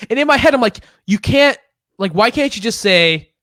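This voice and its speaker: A young man from Illinois co-hosting a podcast, chatting casually, profane and animated, laughing often. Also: extremely high pitch, moderate pace, dry recording, clean studio sound. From Illinois, United States. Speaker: podcast co-host. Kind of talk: casual chat.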